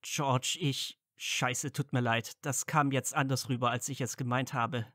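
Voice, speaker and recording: A man's voice: medium pitch, fairly even intonation, measured pace, pushed and bright, no echo, clean studio sound. A man playing a caring, curious young wizard and supportive friend in a fan fiction audio drama, casual and earnest. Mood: apologetic.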